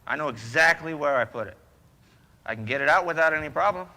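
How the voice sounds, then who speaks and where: A man's voice: fairly high pitch, lively intonation, fast talker, pushed and bright, full character voice. Man acting a blustering husband in an echoey hall. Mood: excited, flustered. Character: bumbling, boastful, excitable husband of radio comedy. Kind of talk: old-time radio comedy stage acting. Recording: echoey hall, background noise.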